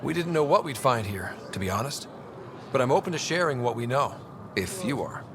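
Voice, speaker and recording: An actor playing an earnest, wry young human explorer in a video game, speaking in a casual, sincere way. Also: male voice, mid-range voice, big pitch swings, fairly quick, background noise. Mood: polite, steady. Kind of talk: video game dialogue acting.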